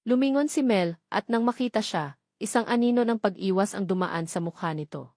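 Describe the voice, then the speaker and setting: A woman's voice: mid-range voice, lively intonation, fairly quick, a little mellow, no room sound, studio quality. A woman reading a scripted romance story as a dramatic audiobook narrator.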